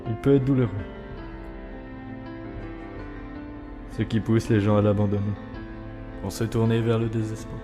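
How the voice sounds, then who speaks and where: A man voicing a weary, dry, guarded skeleton in a comic dub, speaking in a laconic, solemn and measured way. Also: on the low side, steady tone, natural voice. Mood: reflective. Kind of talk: fan comic dub acting. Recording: long hall reverb, music underneath.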